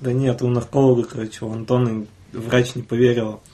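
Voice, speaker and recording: A man's voice: mid-range voice, little pitch movement, brisk, mellow. A young man chipping in as a co-host with casual remarks in studio banter. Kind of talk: casual interjections.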